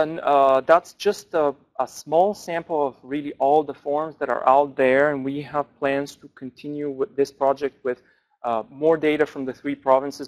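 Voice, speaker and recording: A man, a language researcher, answering questions at an academic forum in a thoughtful way with frequent pauses and restarts. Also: mid-range voice. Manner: thoughtful, many fillers and restarts.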